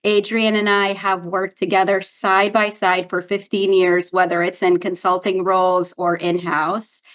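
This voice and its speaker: A woman's voice: mid-range voice, level tone, measured pace, a bit bright. Upbeat woman from Romania, slightly muffled. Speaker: guest, PR agency founder. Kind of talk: interview answers. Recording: slightly dull lo-fi audio.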